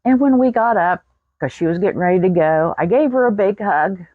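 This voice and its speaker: A woman's voice: medium pitch, expressive, brisk, dry recording, narrow, tinny audio. An American woman in her sixties telling a personal story in a folksy, plain-spoken way. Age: 60s. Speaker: vlogger.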